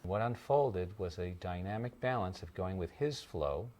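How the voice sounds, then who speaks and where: A man, a speech therapist, narrating a documentary in a calm, measured way. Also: low voice, slight background noise.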